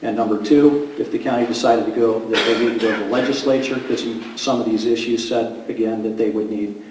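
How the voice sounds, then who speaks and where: A man's voice: on the low side, fairly even intonation, brisk, background noise. Assured man speaking in an echoey hall. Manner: assured, reassuring. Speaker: association representative. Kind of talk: public meeting remarks. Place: meeting room. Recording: echoey room from far off.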